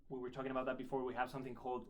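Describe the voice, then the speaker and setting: A man's voice: medium pitch, monotone, very fast, darkish tone, a little hiss or hum. A man in his twenties from Spain, with a Spanish accent and Spanish as his first language, chatting in an interview about joining a religious order, friendly and often repeating or restarting words. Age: 20s. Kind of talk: casual interview chat. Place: school in Ireland.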